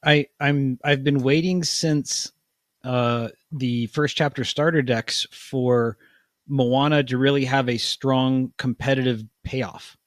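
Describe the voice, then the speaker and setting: A man hosting a casual podcast chat, talking in halting bursts with many restarts. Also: mid-range voice, fairly even intonation, dry recording, clean studio sound. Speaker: podcast host.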